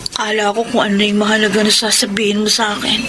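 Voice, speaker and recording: A woman's voice: mid-range voice, completely flat delivery, fast talker, noisy background, lightly acted. An actress in a Filipino radio drama playing a troubled, fearful young woman, a regretful younger sister with a fretful delivery.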